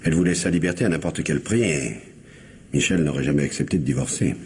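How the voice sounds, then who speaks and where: A deep-voiced, haughty gambler under suspicion in a radio mystery. He is formal, evasive and curt, over faint background noise. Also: fast talker. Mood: evasive, accusing. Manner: formal, hesitant, curtly dismissive. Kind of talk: French radio mystery play acting.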